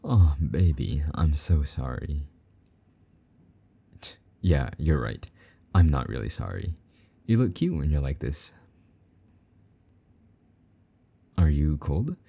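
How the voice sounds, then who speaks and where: Very deep, dark-voiced possessive demon lover played by a man. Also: big pitch swings, gravelly, slightly rough, full character voice. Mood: teasing, affectionate. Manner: taunting, doting, intimate, drawn-out teasing. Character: possessive, teasing male demon lover with soft wings. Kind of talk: intimate scripted roleplay monologue.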